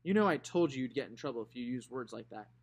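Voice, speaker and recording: A man voicing a firm, middle-aged uncle who scolds in measured tones. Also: mid-range voice, lively intonation. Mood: stern.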